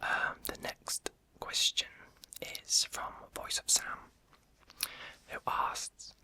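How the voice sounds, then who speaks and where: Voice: extremely slow, bright, forceful tone. A young adult YouTube creator whispering through an ASMR question-and-answer video, rambling and hesitant with many fillers.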